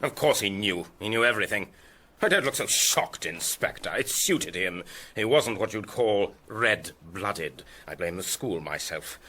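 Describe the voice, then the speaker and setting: An unmasked killer and female impersonator in a vintage detective radio play. The voice is bright and sharp, swooping dramatically through a bitter, theatrical confession. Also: lowish voice, animated, unhurried, full character voice. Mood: bitter, confessional. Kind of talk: period detective audio drama.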